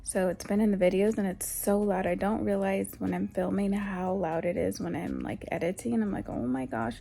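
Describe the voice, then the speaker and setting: A young adult woman vlogging in a soft, whispery voice, rambling casually with lots of ums, recorded through headphones used as a mic. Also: mid-range voice, moderate pace, gravelly. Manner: rambling, with many ums and restarts. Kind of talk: casual chatty vlog talk. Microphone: headphones used as a microphone.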